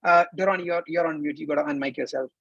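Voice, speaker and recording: A man's voice: fairly high pitch, steady tone, quick pace, creaky, a bit bright, dry recording, highs cut off. A man hosting an online panel over a video call, upbeat and formal as he introduces speakers. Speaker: panel host.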